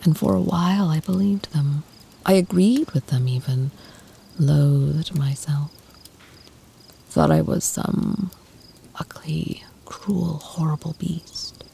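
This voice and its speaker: A woman playing an ancient, tender, protective vampire lover in an ASMR roleplay, speaking in a soft, breathy, soothing whisper close to the mic. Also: low voice, slight background noise, full character voice. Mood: wistful. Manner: slow, soothing, intimate murmur with lingering pauses.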